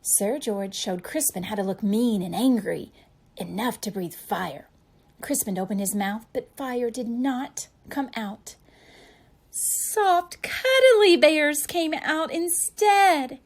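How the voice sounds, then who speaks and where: A woman reading a children's picture book aloud as a storyteller, with expressive, deliberate delivery for young listeners. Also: medium pitch, gravelly, breathy edge.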